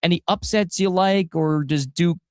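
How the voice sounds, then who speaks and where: A young adult man filling in as a sports talk radio host, energetic and quick with follow-up questions on a studio mic. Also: high-pitched, smooth, dry recording. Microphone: studio broadcast mic.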